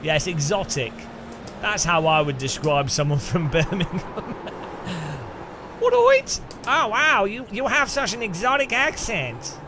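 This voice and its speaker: A man with an English Midlands accent, commentating a sim racing live stream with jokey, animated banter and sudden high-pitched outbursts. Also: measured pace, noisy background.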